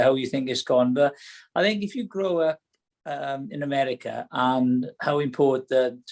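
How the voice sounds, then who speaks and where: A man's voice: mid-range voice, darkish tone. A middle-aged Welsh man answering as a podcast guest, reflective and hesitant, often restarting his sentences. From Wales. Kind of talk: casual podcast chat.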